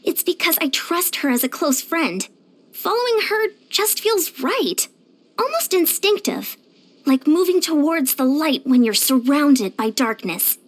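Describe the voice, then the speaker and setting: A very high, lively voice for a small but determined fitness coach in a video game. She speaks, chatty and confident, with pitch that moves around a lot. Her delivery is earnest and plainspoken. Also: measured pace. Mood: warm admiration. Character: short, determined, energetic young female fitness coach. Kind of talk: animated video game character voice lines.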